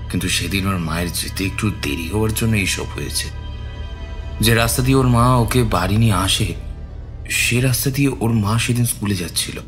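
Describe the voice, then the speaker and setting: Man as worried father, fairly low voice, earnest and anxious, audio drama, clear background music. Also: lightly acted. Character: worried father of a missing young girl.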